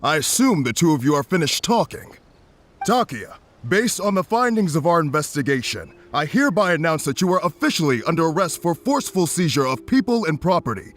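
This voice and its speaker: A man's voice: on the high side, very animated, a little fast, fairly bright tone. A man playing a stern commission officer in a video game, speaking in a formal, authoritative way. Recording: music under the voice.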